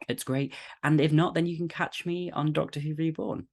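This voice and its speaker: A man's voice: fairly high pitch, steady tone, moderate pace, dry recording. A man who podcasts and acts, giving casual, chatty interview answers. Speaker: cast member, podcaster.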